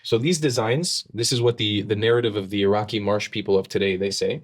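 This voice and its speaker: A man's voice: on the low side, steady tone, a little fast. A man, a podcast guest, explaining with emphasis in conversation, often repeating words as he starts a sentence.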